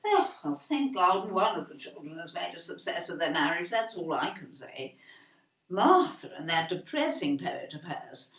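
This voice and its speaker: Sarcastic older English wife, withering, disapproving, animated intonation, echoey audio drama. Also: female voice, fairly low pitch, normal speed, recorded from a distance. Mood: wistful, withering. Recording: roomy echo, faint background noise.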